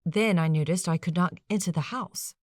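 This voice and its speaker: A woman voicing a courteous, rueful eighteenth-century gentleman in an audiobook, with a formal, measured manner. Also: fairly low pitch, dry recording, clean studio sound, lightly acted. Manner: formal, measured, old-fashioned wording. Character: courteous, rueful 18th-century gentleman, a lonely cursed man.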